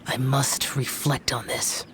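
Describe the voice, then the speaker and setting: A man's voice: mid-range voice, level tone, takes it slowly, fairly bright tone, slight background noise. A man voicing a solemn, aloof, world-weary immortal demon slayer in a video game, grave and formal in manner. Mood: somber, resigned. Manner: grave, formal, terse, restrained. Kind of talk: video game character acting.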